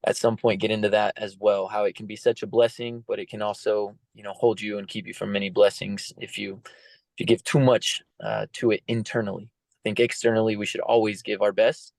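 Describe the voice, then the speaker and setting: A man's voice: on the low side, little pitch movement, brisk, gravelly, a little mellow, no echo. A man in his thirties from the United States, talking earnestly and reflectively as a podcast guest, with many fillers. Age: 30s. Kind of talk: casual interview talk.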